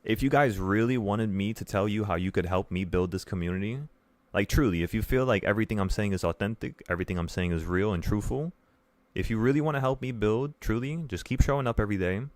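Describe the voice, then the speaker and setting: A man's voice: on the low side, fast, a little mellow, dry recording. A man in his twenties hosting a livestream, talking casually to viewers in an earnest, rambling way with repeated phrases for emphasis. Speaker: livestream host, options trading teacher.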